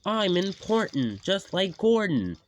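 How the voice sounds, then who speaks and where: A man's voice: sits high, big pitch swings, slow, slight background noise, pitched above the performer's own voice, heavily acted. A man voicing a boastful, cheerful young male fire engine in a storybook. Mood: cheerful boasting. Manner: cheerful, overconfident.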